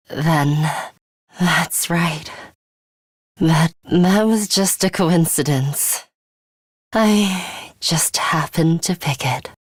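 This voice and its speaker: A woman voicing a cool, guarded young female fighter in a video game, with a measured, composed and imperious way of speaking. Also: on the low side, dry recording, clean studio sound. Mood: subdued. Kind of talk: English video game voice acting, dramatic anime-style dialogue.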